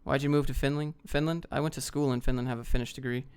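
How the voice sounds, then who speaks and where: A man's voice: mid-range voice, fairly even intonation, fast, a little mellow, clean tone. A man from the United States hosting a livestream, chatting off the cuff in a blunt, slangy way as he reads out questions and answers them. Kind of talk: casual off-the-cuff Q&A chat, reading questions aloud.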